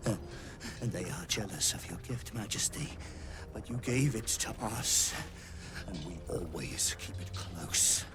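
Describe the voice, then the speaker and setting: A man's voice: low-pitched, animated, measured pace. A man voicing a fanatical half-spider creature in a fantasy game, reverently devoted to his queen and lapsing from muttering into whispers and sudden cries. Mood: reverent, gloating.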